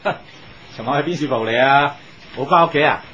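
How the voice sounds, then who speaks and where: A man playing inquisitive practical coworker, middle-pitched, Hong Kong Cantonese, radio drama, dull noisy old recording. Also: a little fast, fairly bright tone, lightly acted. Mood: curious, teasing. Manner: inquisitive, advising. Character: chatty male workmate, curious, practical, reassuring. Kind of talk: 1980s radio drama acting.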